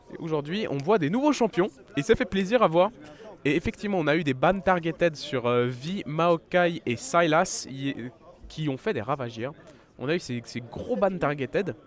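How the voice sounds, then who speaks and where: A man with a head cold giving live esports commentary, chatty and full of fillers and restarts. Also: fairly high pitch. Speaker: esports caster.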